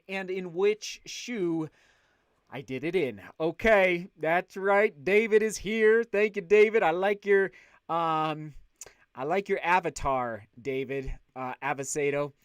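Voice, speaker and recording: A man hosting a casual livestream, chatting upbeat and loud while reading out viewer comments. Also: sits high, measured pace, smooth, dry recording, studio quality. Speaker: livestream host.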